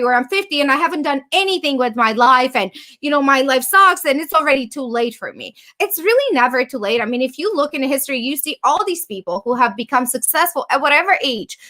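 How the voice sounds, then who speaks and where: A woman guest-hosting a podcast, her voice high and bright. She speaks in an emphatic, motivational way and drives her points home by repeating them. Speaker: guest host. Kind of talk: casual podcast interview.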